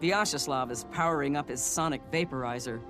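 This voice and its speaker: An actor playing a confident male assassin of a secret order in a fantasy video game, deliberate and coolly eager. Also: on the high side, natural voice. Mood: confident, approving. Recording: prominent music behind the voice.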